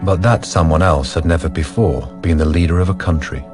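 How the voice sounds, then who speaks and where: A grave male narrator played by an actor tells a crime story for radio. His voice is low and measured, with music clearly behind it. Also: lightly acted. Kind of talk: scripted radio drama narration.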